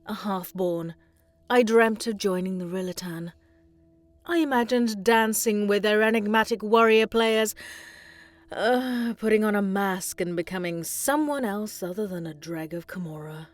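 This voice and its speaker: A woman playing a cruel, indulgent alien tyrant queen in an audio drama, menacing and breathy, often sinking into a whisper. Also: medium pitch, a little slow, no room sound, close to an everyday voice. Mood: wistful, sinister.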